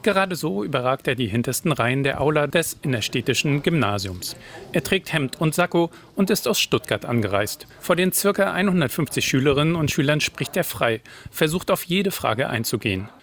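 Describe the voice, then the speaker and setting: A man's voice: on the high side, lively intonation, a little fast, fairly bright tone. Man narrating a news report.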